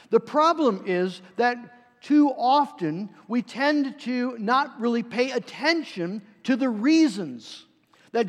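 High-voiced man preaching in a reverberant hall. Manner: conversational preaching with frequent fillers and restarts. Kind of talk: sermon.

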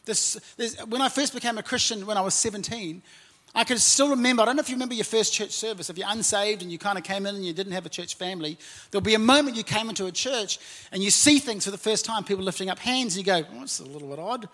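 Nieuw-Zeelandse dominee, wat hoge stem. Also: male voice, high voice, fast. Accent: New Zealand. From New Zealand. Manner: warm, conversational preaching with frequent "and, uh" fillers. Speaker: pastor. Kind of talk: sermon. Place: church auditorium.

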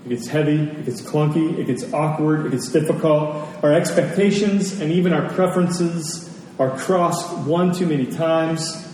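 A man delivers a sermon in an earnest, conversational way, with rhetorical questions and deliberate pauses. His voice sits a little high yet sounds mellow. It reaches the listener from a distance, washed in heavy hall reverb. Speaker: preacher. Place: large reverberant room, church service. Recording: very echoey large hall, distant, noisy.

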